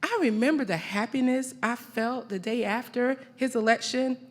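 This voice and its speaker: A woman from the American South, giving a rhythmic, emphatic, poetic keynote speech with deliberate repeated phrases. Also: on the high side. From American South (the Carolinas). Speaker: keynote speaker at a conference. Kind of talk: rhythmic spoken-word poetic public speech. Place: large conference hall. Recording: reverberant large hall through PA.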